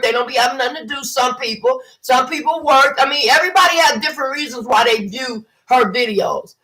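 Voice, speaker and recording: A woman's voice: high voice, pushed and bright, poor audio. A woman, hosting a casual livestream and talking bluntly with mocking impressions. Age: 50s. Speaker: livestream host.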